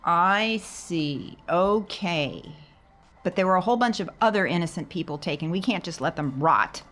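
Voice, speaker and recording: A woman's voice: fairly low pitch, expressive, moderate pace, a bit bright. A woman speaking casually in character as a player in a tabletop role-playing game.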